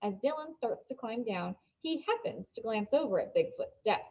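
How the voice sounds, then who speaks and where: A woman reading a children's novel aloud as an expressive storybook narrator. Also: fairly high pitch, normal speed, highs cut off.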